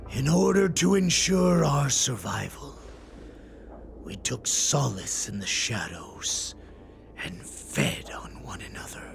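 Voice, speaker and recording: An actor voicing a zealous wolf-man warrior with a rough gravelly voice that moves from whispers to shouts. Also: mid-range voice, noisy background, full character voice.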